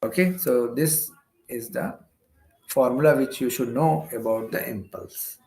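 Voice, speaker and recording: An Indian-accented man explains worked problems like a classroom teacher, repeating key phrases as he goes. His mid-pitched voice has a creaky, gravelly edge. The recording carries noticeable room echo. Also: unhurried, darkish tone. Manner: repeats phrases and checks with "okay". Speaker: physics teacher. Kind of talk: explanatory exam-prep lecture working through problems step by step. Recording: roomy echo.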